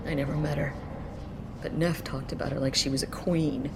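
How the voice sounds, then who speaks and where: An actress playing a grieving, weary mother in a video game, speaking in a soft, halting, emotional way. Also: sits low, level tone, noisy background, natural voice. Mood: sorrowful. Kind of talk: dramatic video game acting.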